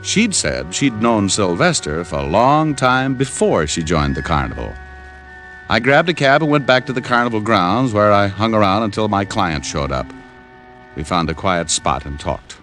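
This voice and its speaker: Casting: hard-boiled American radio gumshoe, lowish voice, very animated delivery, wry slang, vintage recording with music. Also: male voice, normal speed. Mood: matter-of-fact narrating. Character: wry, streetwise male private detective. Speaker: lead actor and narrator of a detective series. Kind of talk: old-time radio detective acting, hard-boiled narration.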